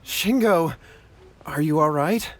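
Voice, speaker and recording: Meek, higher-voiced anxious young man. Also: expressive, takes it slowly. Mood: worried. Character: meek, anxious, remorseful young man, easily frightened brother. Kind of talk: scripted video game voice acting.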